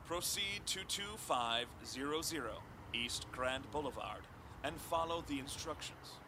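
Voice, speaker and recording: A man's voice: a little high, lively intonation, unhurried, background noise. A man playing a mysterious, imperious game instructor in an audio drama, speaking in precise, clipped orders. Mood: cool, measured.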